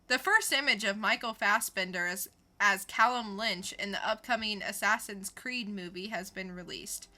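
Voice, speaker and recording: A woman's voice: medium pitch, moderate pace, hardly any pauses, pushed and bright. A woman co-hosting a podcast, reading scripted pop-culture news and adding dry, sarcastic punchlines. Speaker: podcast co-host.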